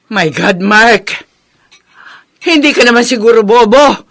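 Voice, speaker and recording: A woman's voice: on the high side, animated, slow, a bit bright, full character voice. A woman acting an exasperated, berating mother in a family drama, with a Filipino accent. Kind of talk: acted family drama dialogue.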